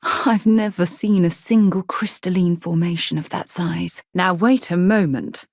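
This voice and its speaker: Composed space explorer lady for a video game, lower voice, very articulate and measured, principled type, dry studio sound. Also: darkish tone, dry recording. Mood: composed, reserved. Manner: articulate, measured, formal phrasing. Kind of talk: video game character dialogue.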